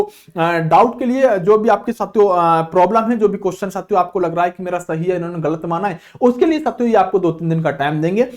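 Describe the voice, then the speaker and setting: A man gives an informal coaching lecture in a fairly high voice that rises and falls a lot. He keeps posing questions to his audience and answering them himself, and he calls his listeners friends throughout. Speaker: exam coaching teacher.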